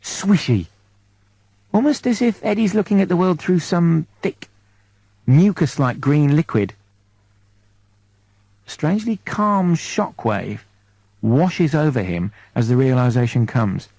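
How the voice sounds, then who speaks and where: A man narrating a comic science-fiction audiobook in an expressive, measured storytelling manner with deliberate pauses. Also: a little high, gravelly, no room sound, poor audio.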